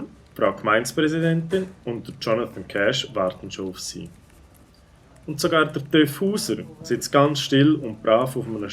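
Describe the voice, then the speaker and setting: A man's voice: mid-range voice, a little hiss or hum. A man with a Swiss German accent narrating a children's story.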